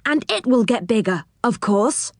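An eager young storybook boy, played by a woman reader, high and swooping in pitch. He sounds wide-eyed, concerned and helpful, in a close, dry recording. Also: lively intonation, unhurried, dry recording.

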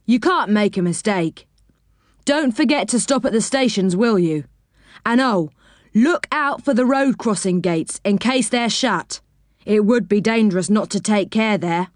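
A woman's voice: medium pitch, animated, unhurried, dry recording, clean studio sound, lightly acted. A woman voicing a helpful male train driver in a storybook, explaining things in a matter-of-fact way. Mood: instructive.

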